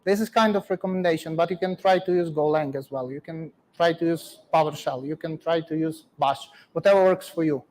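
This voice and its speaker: High-voiced man with a Ukrainian accent. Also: a little fast, hardly any pauses, darkish tone. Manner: plain, practical, short clipped phrases. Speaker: guest speaker. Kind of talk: technical talk and Q&A.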